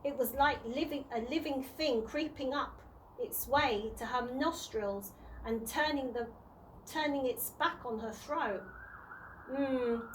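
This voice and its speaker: A woman reading a children's storybook aloud in a deliberate way, sometimes stumbling and rereading words. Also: high-pitched, a little hiss or hum, low-quality recording. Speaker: early years practitioner reading to children.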